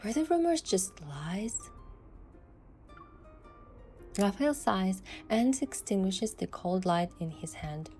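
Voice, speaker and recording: A woman's voice: medium pitch, very animated, slow, long pauses, darkish tone, soft background music. A woman giving scripted first-person narration of an adventure story as its protagonist.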